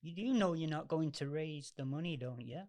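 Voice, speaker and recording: A man playing a selfish, bitter older businessman with a gruff, sneering manner in an amateur radio play. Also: on the high side, fairly quick, a little mellow, lightly acted. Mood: scornful.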